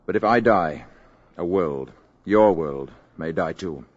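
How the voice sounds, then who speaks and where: An actor playing a calm, grave alien visitor in human guise, measured and solemn, in a 1950s radio drama. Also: male voice, low voice, dull audio. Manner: measured, solemn, urgent at times. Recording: old radio broadcast recording.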